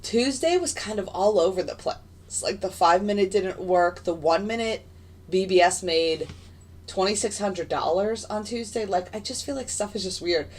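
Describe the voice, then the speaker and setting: A woman with a raspy voice and hiccups, chatting casually and rambling as she streams live trading commentary from her desk. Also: medium pitch, animated, moderate pace. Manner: chatty, rambling, many fillers and restarts. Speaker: futures day trader streaming live. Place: home desk in front of a computer.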